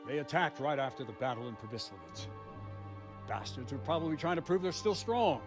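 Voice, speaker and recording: A man's voice: medium pitch, animated, brisk, music in the background, lightly acted. A man playing a stern, dutiful captain of the guard in a medieval video game, reporting in a grave, soldierly manner. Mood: grim, urgent. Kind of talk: dramatic video game acting.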